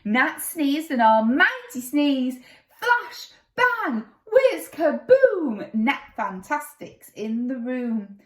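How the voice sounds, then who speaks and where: A woman's voice: high-pitched, big pitch swings, extremely slow. A woman reading a children's story expressively, with dramatic, playful narration, recorded in an echoey room. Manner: dramatic, playful with sound effects.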